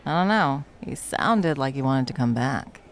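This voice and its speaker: A woman's voice: very deep voice, animated, a little slow, full character voice. A woman playing a smug, nonchalant villain who works as a barista, dry and teasing in a comedic ASMR roleplay. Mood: casual, deadpan. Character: smug, deadpan young villain working as barista.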